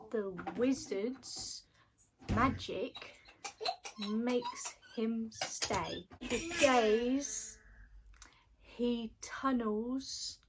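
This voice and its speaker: A woman reading a children's picture book aloud in short, deliberate, expressive phrases. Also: a little high, a little hiss or hum, low-quality recording. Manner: slow, deliberate phrasing in short broken chunks.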